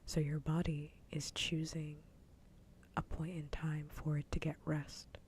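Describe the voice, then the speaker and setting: A woman chatting casually in a soft, breathy whisper, close to the microphone in ASMR style. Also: little pitch movement, unhurried. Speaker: livestreamer, ASMR host. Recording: faint low rumble noise.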